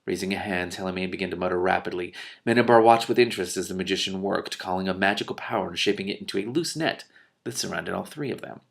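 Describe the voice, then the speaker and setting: A man's voice: sits low, fast, vocal fry, a bit bright. A man reading a story aloud expressively, with some casual commentary of his own. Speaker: book reader and commentator.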